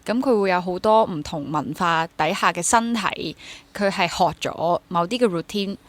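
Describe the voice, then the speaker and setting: A woman's voice: lowish voice, moderate pace. A young woman with a Hong Kong Cantonese accent chatting casually as an interview guest, mixing in English words. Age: young adult. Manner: code-switches into English words. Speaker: interview guest, theatre actor and drama teacher.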